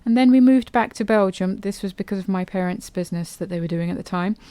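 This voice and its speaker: A woman's voice: medium pitch, quick pace, smooth, no echo. Chatty woman hosting a podcast. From England. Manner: chatty and relaxed, with frequent ums and loose restarts. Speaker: podcast host. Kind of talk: casual solo podcast monologue.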